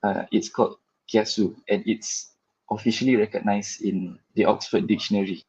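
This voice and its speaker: Low, flat-voiced Singaporean man presenting. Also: husky, highs cut off. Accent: Singaporean English. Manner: measured, with frequent filler pauses. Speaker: guest presenter. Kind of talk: slide presentation to a class.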